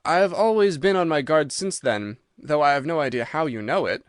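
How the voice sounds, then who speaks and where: A man's voice: a little high, fairly quick, no room sound, studio quality, close to an everyday voice. A man voicing a genial but wary old country squire in a mystery story, hearty and exclamatory. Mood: wary, earnest.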